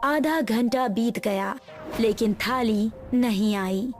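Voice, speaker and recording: Female narrator for a moral tale, high-pitched and measured, over soft music. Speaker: story narrator. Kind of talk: scripted moral-story narration.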